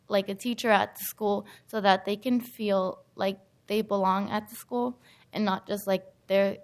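A teenage young woman, a high school student, giving an earnest prepared speech in public. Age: teens.

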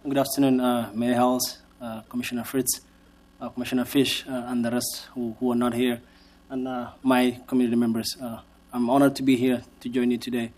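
Flat-voiced Somali man testifying. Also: medium pitch, low-quality recording. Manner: measured, hesitant, frequent uhs. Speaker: policy advocate. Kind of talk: public testimony at a city council.

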